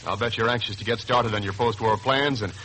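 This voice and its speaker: A man's voice: fairly low pitch, fairly even intonation, a little fast, pushed and bright, a little hiss or hum, low-quality recording. An American man announcing in scripted 1940s radio style, emphatic, formal and polished, on an old broadcast. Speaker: radio announcer and sponsor spokesman.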